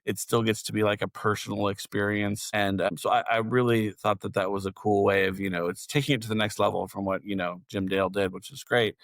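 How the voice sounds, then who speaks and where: Guy with a slightly low voice co-hosting a podcast, casual chat, no room echo. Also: flat delivery, brisk, few pauses. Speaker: podcast co-host.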